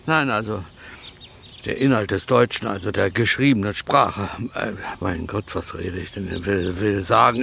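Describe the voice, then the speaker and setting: Radio-drama role: addled, aggrieved composer, gravelly and erratic, woozy on pills, outdoor background noise. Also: male voice, on the low side, fairly bright tone, exaggerated voice. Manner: rambling, erratic, jumping between questions.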